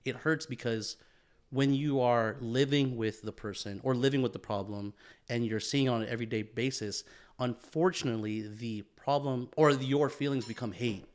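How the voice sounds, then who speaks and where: A man's voice: medium pitch, normal speed. A man co-hosting a podcast, chatting casually, swearing and calling people bro and dude. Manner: laid-back, calls people bro and dude, swears often. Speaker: podcast co-host. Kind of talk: casual chat.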